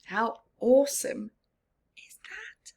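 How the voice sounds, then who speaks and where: Slow-paced woman reading a children's story. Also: a little high, big pitch swings, vocal fry. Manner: dramatic emphasis, drawn-out words, hushed whispered asides. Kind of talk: expressive children's read-aloud with chatty asides.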